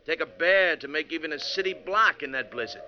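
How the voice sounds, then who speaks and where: An actor playing a plain-spoken, gruff bus driver with a folksy, firm manner in 1940s radio drama style. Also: male voice, a little high, fairly quick, close to an everyday voice. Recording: muffled, noisy 1949 radio recording.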